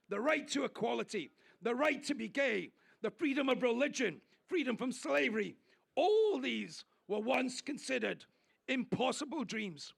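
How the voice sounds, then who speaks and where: A man giving an impassioned political speech at an outdoor rally, declaiming and shouting at the peaks. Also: very high-pitched, creaky. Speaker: rally speaker.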